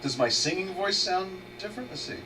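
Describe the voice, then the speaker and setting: A man's voice: sits high, fairly quick. A man fighting a head cold who hosts a live trading webinar, thinking aloud in a rambling way and restarting his sentences. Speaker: trader hosting a live webinar. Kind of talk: casual live market commentary, thinking aloud. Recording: distant mic with steady electrical hum.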